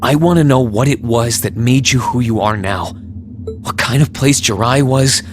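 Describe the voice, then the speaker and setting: A man's voice: mid-range voice, a little slow, soft background music, lightly acted. An actor playing an earnest, brooding young male academy student in a video game, serious and insistent. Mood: earnest, pleading. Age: young adult.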